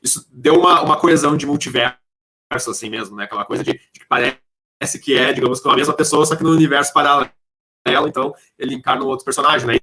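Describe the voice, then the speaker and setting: Bright-voiced Brazilian man, podcast interviewer. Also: medium pitch, fast. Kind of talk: casual interview commentary.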